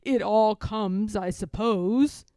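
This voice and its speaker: A woman's voice: mid-range voice, takes it slowly, mellow, no room sound, studio quality, close to an everyday voice. A woman voicing a small male bear from a storybook, earnest and muddled, musing ponderously in a simple-minded way. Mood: rueful. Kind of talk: storybook character voice.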